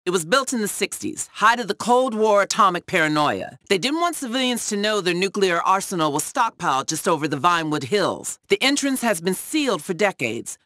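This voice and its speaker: A cynical government operative in a video game. The voice is sharp and lively in pitch, with matter-of-fact, wry delivery and a close, dry sound. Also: male voice, fairly quick, few pauses, dry recording, full character voice. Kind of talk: video game mission briefing.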